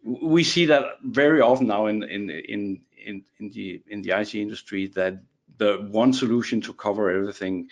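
A man's voice: fairly low pitch, normal speed, highs cut off. A man from Denmark with a Danish accent, a guest on a casual podcast chat, who restarts and repeats words often.

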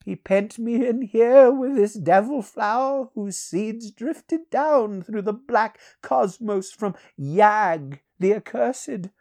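A woman performs an eerie old sorcerer from a fantasy storybook, his voice lifted very high. He speaks slowly, with courtly, archaic grandeur and wry theatrical weight. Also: dark tone. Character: aristocratic ancient male sorcerer, long imprisoned, wry and eerie. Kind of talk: dramatised fantasy audiobook.

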